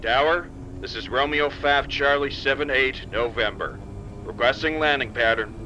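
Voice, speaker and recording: A man's voice: medium pitch, normal speed, pushed and bright, lightly acted. A man playing a military pilot calling a control tower in formal, clipped radio procedure for an audio drama. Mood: businesslike. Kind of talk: clipped radio-call acting. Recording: dull lo-fi sound with engine noise.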